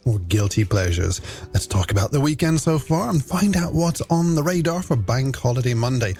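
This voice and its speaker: Lively Irish male late-night radio host. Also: a little high. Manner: chatty, companionable patter addressed to listeners. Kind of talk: late-night music radio presenting, scripted links. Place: radio studio. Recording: music bed behind the voice. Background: music.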